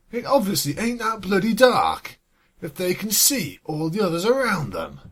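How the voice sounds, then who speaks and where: A story reader with a husky voice, sardonic and exasperated, swinging between narration and sweary asides. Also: medium pitch, fairly bright tone. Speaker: fan fiction reader and commentator. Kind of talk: scripted story reading with sarcastic asides.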